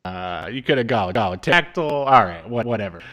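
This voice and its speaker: A man's voice: on the low side, lively intonation, brisk, bright, forceful tone. A man in his thirties co-hosting a casual media review podcast, rambling hesitantly with dry asides. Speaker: podcast co-host. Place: small room at home. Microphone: video call setup.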